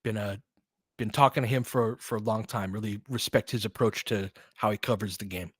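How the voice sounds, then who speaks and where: A podcast host chatting casually with viewers, telling a rambling story full of fillers and restarts. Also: male voice, lowish voice, fairly even intonation, fairly quick, breathy edge, dry recording, studio quality. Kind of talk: casual livestream chat answering viewers.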